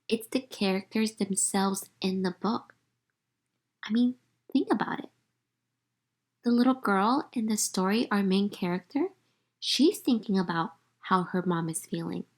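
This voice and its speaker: A woman speaks as a teacher to young children during a read-aloud. Her voice sits at a medium pitch. She is expressive, pausing the story to explain and to put questions straight to her listeners. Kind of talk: interactive picture-book read-aloud with commentary.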